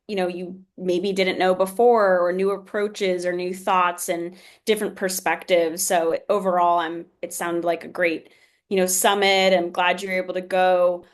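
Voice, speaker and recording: A woman hosting a casual business podcast, asking questions in a friendly, chatty way. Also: fairly low pitch. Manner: friendly, chatty, laughs often. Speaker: podcast host.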